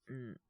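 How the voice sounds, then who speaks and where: A man with a sore, scratchy throat and a cough, chatting casually and intimately in soft, hesitant murmurs as if to someone beside him. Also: very high voice, dry recording, studio quality. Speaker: voice actor making situation-voice videos. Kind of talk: intimate casual chat to an unheard partner.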